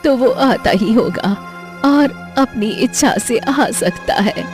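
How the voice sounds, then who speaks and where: A woman voicing a gentle old village woman in a cartoon, with slow, drawn-out delivery. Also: on the high side, expressive, faint music behind, voice pushed down. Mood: warning.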